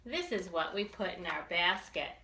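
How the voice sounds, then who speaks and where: A woman reading a picture book aloud for young children, expressively and with deliberate enunciation. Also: lowish voice, animated, creaky, sharp tone, reverberant, slight background noise. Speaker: children's librarian storytime reader.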